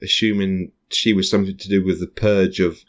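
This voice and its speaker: A man with a low, deep voice hosts a relaxed fan podcast. He reads news snippets aloud, then drifts into hesitant musings full of ums. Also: brisk. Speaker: podcast host. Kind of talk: casual chat, reading news aloud.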